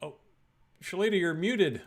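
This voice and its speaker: A man hosting an improv show over a video call, lively and quick with asides and frequent laughs. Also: sits high.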